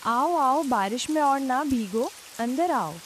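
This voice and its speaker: A nagging village wife from a cartoon tale, voiced by a woman, speaks in a fairly high voice with lively swings. She is bossy, homely and insistent. Rain and hiss run behind her. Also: takes it slowly, heavily acted. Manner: insistent, scolding. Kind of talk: cartoon story dialogue acting. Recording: hiss with rain and sizzle noise.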